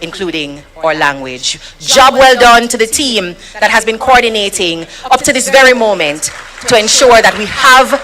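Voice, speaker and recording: A woman's voice: a little high, lively intonation, moderate pace, poor audio. Rousing Jamaican woman emceeing a rally. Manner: rousing, builds to shouted introductions. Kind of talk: formal event introductions. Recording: live rally recording with a loud crowd. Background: cheering crowd.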